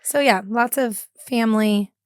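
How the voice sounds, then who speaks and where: Guest, woman in her thirties from San Diego, smooth higher voice, dry sound, relaxed and easygoing. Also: unhurried, no room sound. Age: 30s. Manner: easygoing, many fillers and yeahs. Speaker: podcast guest. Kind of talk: casual interview chat.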